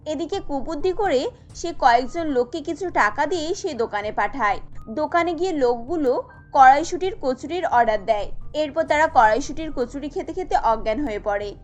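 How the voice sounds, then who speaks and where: A woman's voice: high voice, expressive, moderate pace, faint music behind. A woman narrator reading a scripted cartoon story.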